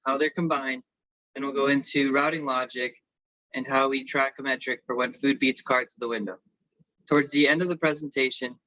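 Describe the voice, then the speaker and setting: A man's voice: medium pitch, pushed and bright, no room sound. A man giving a semi-scripted technical presentation as an engineering consultant, on a slightly dull recording.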